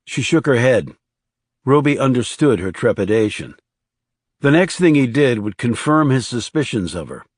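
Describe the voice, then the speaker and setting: A man's voice: mid-range voice, sing-song, normal speed, no room sound, studio quality. A man reading scripted thriller narration as an audiobook narrator.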